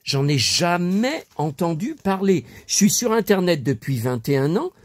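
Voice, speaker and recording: A man's voice: high voice, big pitch swings, unhurried, no room sound. A man in his fifties, stuffy from a lingering cold, rambling to his viewers with lots of hesitations and restarts. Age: 50s. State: dry mouth. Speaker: collector vlogger. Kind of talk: rambling casual monologue to viewers.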